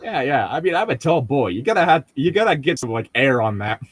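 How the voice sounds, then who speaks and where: A man's voice: mid-range voice, very animated, brisk, slightly rough, no room sound. A man chatting casually and jokingly with friends, prone to breaking into laughter. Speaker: co-host reading a book aloud with friends. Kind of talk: casual joking group chat.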